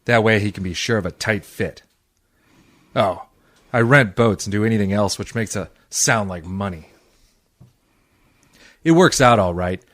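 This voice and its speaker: Male actor as a hard-boiled radio detective, low pitch, dry recording, cynical, wisecracking, deadpan. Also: no echo, natural voice. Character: hard-boiled, wisecracking male private eye and odd jobber. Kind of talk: old-time radio detective acting, narration and dialogue.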